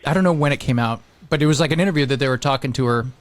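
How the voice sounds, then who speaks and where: A man's voice: mid-range voice, rapid-fire. A man chatting casually as a podcast host, telling stories and acting out quotes as he goes.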